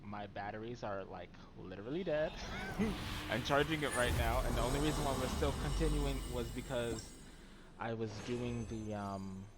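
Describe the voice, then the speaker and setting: A man streaming a game live, chatting in a very soft, mild voice with plenty of ums and trailing words. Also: mid-range voice, moderate pace, heavy background noise. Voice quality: very soft voice that does not carry.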